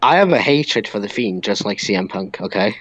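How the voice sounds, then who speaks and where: A man's voice: lowish voice, expressive, fairly quick, fairly bright tone, husky, no room sound, poor audio. A man co-hosting a wrestling podcast in casual group chat, speaking bluntly and with strong opinions.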